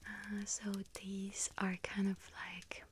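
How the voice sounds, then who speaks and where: A woman playing a friendly, scatterbrained young witch serving a bakery customer in an ASMR roleplay, hesitant, apologetic and gently chatty. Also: mid-range voice, completely flat delivery, slow, slight background noise, natural voice. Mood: sheepish, friendly. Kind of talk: ASMR roleplay, soft whispering.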